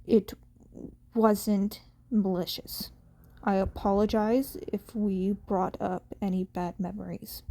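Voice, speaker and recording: A woman voicing a tired, gruff adult male pro hero in an audio story, deadpan and measured. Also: mid-range voice. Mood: apologetic.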